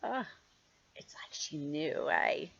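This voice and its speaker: Expressive low-voiced older woman reading aloud. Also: creaky, husky, no echo, in a whisper, lo-fi sound. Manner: expressive, playful, drawn-out emphasis on key words. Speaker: grandmother reading stories to children. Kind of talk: storybook read-aloud with chatty asides.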